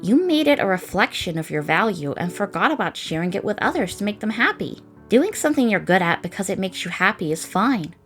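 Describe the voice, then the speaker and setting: A woman's voice: medium pitch, lightly acted. A woman voicing a thoughtful, encouraging young woman in a comic dub of a space adventure.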